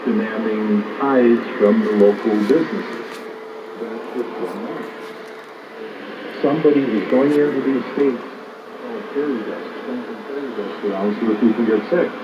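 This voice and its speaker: A man's voice: medium pitch, moderate pace. A man on a radio talk show, speaking in a rambling, repetitive conspiratorial monologue. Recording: noisy lo-fi shortwave broadcast. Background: static hiss.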